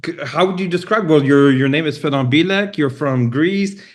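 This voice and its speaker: A man with a slightly high voice hosts a casual podcast conversation. He speaks informally and often chimes in while his guest talks. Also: moderate pace. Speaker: podcast host.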